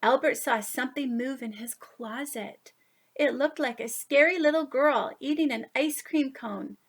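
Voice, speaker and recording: A woman reading a picture book aloud to young children, expressive, with drawn-out emphasis on key words. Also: sits high. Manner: animated, drawn-out emphasis, asides to listeners. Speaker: children's story reader.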